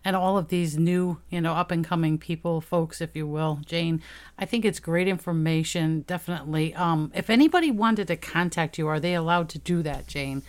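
A woman's voice: on the low side, normal speed. A woman hosting a radio talk show, upbeat and chatty, addressing her guests by name. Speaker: radio host. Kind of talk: casual radio interview.

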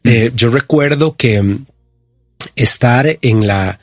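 A man in his fifties with a Costa Rican accent, giving personal testimony as an interview guest in a reflective, halting way. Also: medium pitch, no echo, studio quality. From Costa Rica. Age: 50s.

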